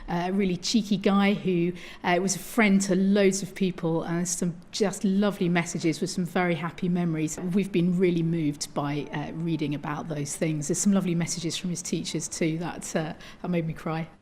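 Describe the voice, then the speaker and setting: A woman's voice: on the low side, steady tone, normal speed, a little mellow. A woman speaking unscripted in a news interview as a church representative.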